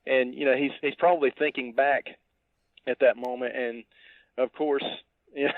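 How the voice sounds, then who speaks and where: A man co-hosting a podcast over a tinny line, casually discussing a film in a halting, thinking-aloud way. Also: medium pitch, steady tone, dry recording. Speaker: podcast co-host. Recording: tinny, dry phone-like line.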